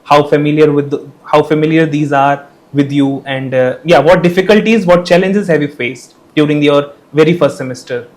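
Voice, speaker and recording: A man's voice: on the high side, moderate pace, few pauses, darkish tone, poor audio. A young man hosting a student panel, politely thanking and prompting each guest. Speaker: podcast host.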